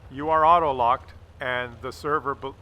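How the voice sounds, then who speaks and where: A man's voice: mid-range voice, steady tone, normal speed, lo-fi sound, a little hiss or hum. A man giving casual commentary on a game replay, with a jokey, crude sense of humour and a habit of repeating his phrases. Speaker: host of gameplay commentary video.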